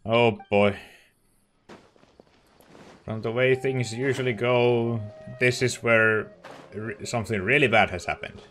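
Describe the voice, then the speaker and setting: A man's voice: medium pitch, steady tone, slow, long pauses, a bit bright, a little hiss or hum. A man hosting a let's play, musing and reacting aloud in casual commentary.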